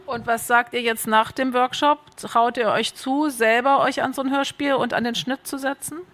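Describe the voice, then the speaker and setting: A woman's voice: on the high side, steady tone, moderate pace, fairly bright tone. A woman moderating a festival panel, asking long questions. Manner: asks questions at length.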